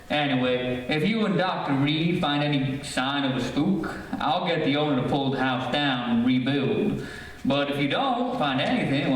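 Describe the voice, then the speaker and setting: A man playing a matter-of-fact, folksy real estate agent in an old-time radio drama. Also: on the high side. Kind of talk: 1940s radio acting. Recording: distant, very echoey room with faint noise.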